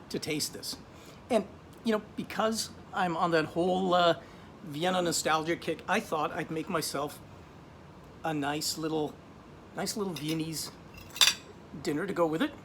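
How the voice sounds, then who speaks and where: Chatty man with a slightly high voice. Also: measured pace, long pauses, slight background noise. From Austria. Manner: relaxed, chatty, many ums and restarts. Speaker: wine shop buyer. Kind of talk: casual wine tasting video.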